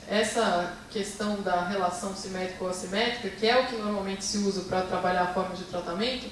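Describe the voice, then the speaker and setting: A woman's voice: medium pitch, slight background noise. A woman with a Brazilian Portuguese accent giving a seminar talk on her research, hesitant, with many fillers and restarts while asking for the next slide. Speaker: seminar lecturer. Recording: echoey hall, speaker far from mic.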